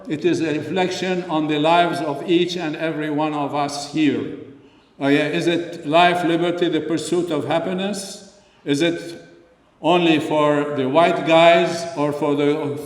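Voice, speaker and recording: An older man from Lebanon giving an earnest, unscripted speech at a community dinner, pausing often with fillers. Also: fairly high pitch. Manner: earnest, frequent pauses and fillers. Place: large hall. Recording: echoey hall, voice from far off.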